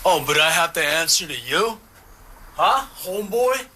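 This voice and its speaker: An actor playing an aggrieved, confrontational street-gang burglar in an audio drama, talking in street slang. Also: male voice, on the high side, animated, measured pace, bright, forceful tone, heavily acted. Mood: challenging.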